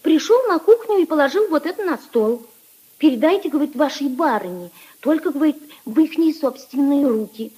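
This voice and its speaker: An actress playing a flustered, servile young housemaid in a 1950s Soviet radio drama, apologising in folksy servant speech. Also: female voice, sits high, animated, fairly quick, mellow, low-quality recording. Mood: anxious, apologetic. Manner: breathless excuses, deferential, folksy servant speech.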